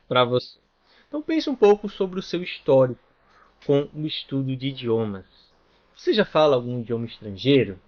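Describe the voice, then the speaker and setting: A man from Brazil teaches an online language lesson in a mid-range voice. He explains patiently and keeps checking in with the listener. The audio sounds a little dull. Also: mid-range voice. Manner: explanatory, with frequent "né?" and "tá bom?" check-ins.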